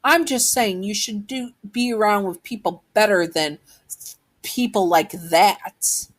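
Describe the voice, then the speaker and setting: A woman's voice: medium pitch, slow pace, pitched above the performer's own voice. A woman voicing a hostile, condescending teenage bully boy in an amateur story narration. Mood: sneering. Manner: taunting, condescending.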